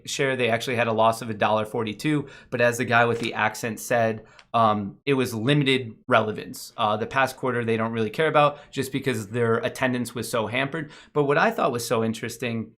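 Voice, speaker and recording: A man's voice: mid-range voice, a bit bright. Casual, emphatic male market commentator. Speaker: host of a stock market show. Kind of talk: casual off-the-cuff financial commentary.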